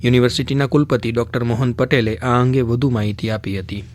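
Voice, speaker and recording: A man's voice: mid-range voice, fairly even intonation, brisk, a little mellow. A man presenting scripted radio news.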